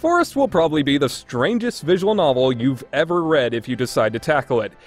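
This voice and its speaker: A man's voice: a little high, very animated, fairly quick, soft background music. A man delivering a scripted review narration in an opinionated, emphatic way. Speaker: reviewer, list host.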